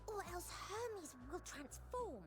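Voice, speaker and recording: Game role: small childlike pleader, extremely high, wildly swooping pitch, drawn-out earnest begging. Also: female voice, very animated, full character voice. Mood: imploring. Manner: drawn-out, imploring. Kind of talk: scripted video game voice acting. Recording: loud music over the voice.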